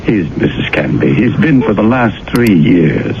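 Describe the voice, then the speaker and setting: A man's voice: fairly low pitch, sing-song, a little slow. An actor playing a sanctimonious man in an old radio drama, soothing and persuasive as he urges prayer. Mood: firm. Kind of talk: 1970s radio drama acting. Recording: dull old radio broadcast, faint hiss.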